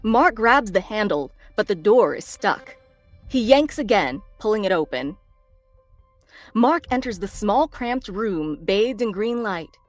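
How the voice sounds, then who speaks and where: A woman's voice: medium pitch, lively intonation, measured pace, no echo. A woman narrator reading screenplay stage directions as dramatic scripted narration. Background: faint background music.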